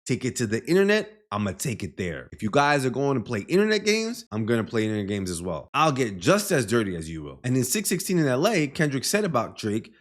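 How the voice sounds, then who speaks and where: A man with a mid-pitched voice and very lively intonation delivers explainer commentary. He speaks emphatically and with clear opinions, moving between quoted lines and his own reading of them. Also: a little fast, hardly any pauses. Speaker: music commentator and host. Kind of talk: explainer commentary breaking down rap lyrics.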